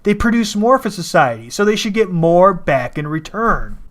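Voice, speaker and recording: High-pitched, blunt man commentating. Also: big pitch swings, moderate pace. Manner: blunt, argumentative, sweary. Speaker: reaction commentator. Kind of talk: casual opinionated commentary.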